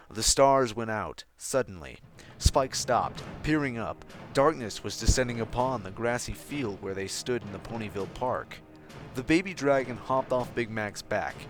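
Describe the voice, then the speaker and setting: A man reading a fan fiction story aloud in an expressive narrating style. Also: mid-range voice. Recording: music behind the voice. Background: background music.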